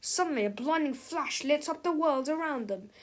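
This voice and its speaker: A woman's voice: high voice, animated, normal speed, slight background noise. A woman reading a children's book aloud in a dramatic storytelling style. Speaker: storybook reader.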